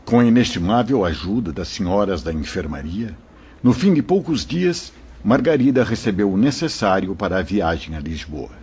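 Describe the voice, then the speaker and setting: An actor playing a wise spirit mentor who recounts events to listeners in a solemn, explanatory manner in an audio drama. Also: male voice, fairly low pitch, expressive, moderate pace, a little mellow, a little hiss or hum. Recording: muffled lo-fi audio.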